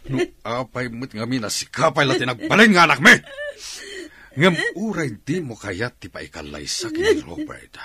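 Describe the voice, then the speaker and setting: An actor with an Ilocano accent playing a domineering, angry middle-aged father in a radio drama, forceful and scolding. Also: medium pitch, huge pitch swings, normal speed, a crowd in the background. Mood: furious, scolding. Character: domineering, angry father forcing his daughter to marry.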